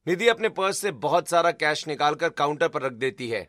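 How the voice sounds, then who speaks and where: A man's voice: fairly high pitch, expressive, fairly quick, fairly bright tone, faint music behind. A man giving scripted narration for a cartoon family story.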